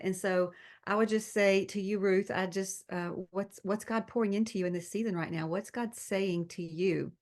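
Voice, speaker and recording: A woman pastor hosting a faith podcast, chatting in an encouraging, upbeat way. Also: fairly low pitch. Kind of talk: casual faith podcast chat.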